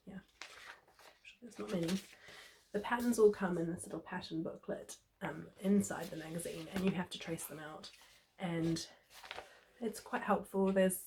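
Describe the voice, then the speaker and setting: Female sewing vlogger, New Zealand accent, lower register, a touch hoarse with a cold, informal chatty delivery. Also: slight background noise, poor audio. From New Zealand, Christchurch. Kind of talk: casual sewing tutorial chat.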